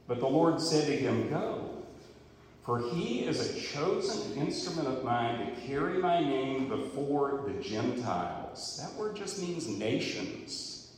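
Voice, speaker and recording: A man's voice: on the high side, a little mellow, a little hiss or hum. A man preaching, reading scripture aloud and then explaining it in a conversational way. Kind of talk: expository Bible teaching. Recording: echoey hall with long reverb.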